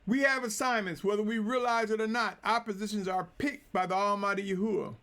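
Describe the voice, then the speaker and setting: A man preaching a sermon in a deliberate, emphatic way, drawing out key phrases. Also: high-pitched, fairly even intonation, pushed and bright.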